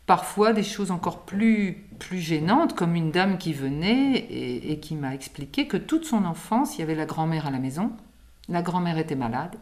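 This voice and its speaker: A woman's voice: low voice, a little mellow. A woman from France, a singing teacher and author, explaining patiently in an interview with everyday examples and light laughs.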